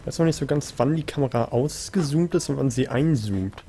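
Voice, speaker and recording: A man's voice: medium pitch, fairly quick, dark tone. A German man giving casual let's play commentary, musing and thinking aloud. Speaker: let's player.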